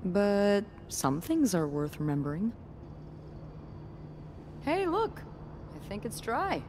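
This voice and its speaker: A woman's voice: mid-range voice, huge pitch swings, takes it slowly, background noise. An actress voicing a wry, playful, self-assured young woman with a teasing manner in a naturalistic video game scene. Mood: playful, candid.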